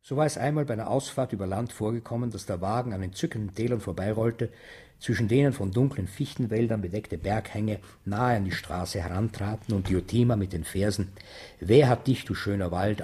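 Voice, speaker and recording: A man reading a novel aloud as literary narration, with a husky voice. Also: fairly low pitch, fairly quick, dark tone. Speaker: reader of a novel.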